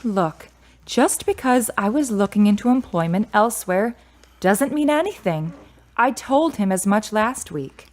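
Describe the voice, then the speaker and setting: A woman's voice: medium pitch, expressive, unhurried, darkish tone, natural voice. A woman playing a grieving, independent and prickly adult daughter in a radio drama, speaking in a curt, guarded way. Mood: irritated, firm.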